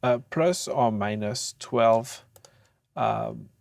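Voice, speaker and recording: An audio tutor gives an informal demonstration of software at a slow pace. The delivery is halting and hesitant, with frequent pauses, and the sound is very dry. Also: mid-range voice, creaky, no room sound.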